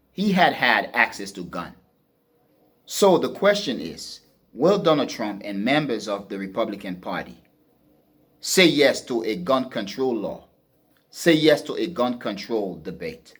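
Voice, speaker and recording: Husky low-voiced man giving political commentary. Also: a bit bright. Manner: deliberate, emphatic, repeats and rephrases phrases. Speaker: podcast host and political commentator.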